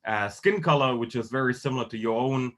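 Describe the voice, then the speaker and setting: A man from Germany speaking as a guest lecturer on a video call, with formal wording and a halting, hesitant delivery. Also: mid-range voice, fairly bright tone. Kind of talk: semi-formal online lecture.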